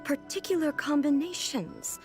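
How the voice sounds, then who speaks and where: A woman's voice: sits high, unhurried, faint music behind. An actress playing a poised, earnest young noblewoman in a video game, speaking formally and precisely. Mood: puzzled, skeptical. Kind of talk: video game character dialogue.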